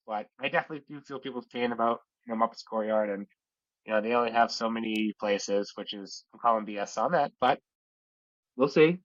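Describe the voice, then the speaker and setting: A podcast co-host chatting casually, agreeable and easygoing, sounding congested from a lingering illness. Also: male voice, mid-range voice, a bit bright, no room sound, lo-fi sound. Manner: easygoing, agreeable, lots of fillers. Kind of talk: casual chat.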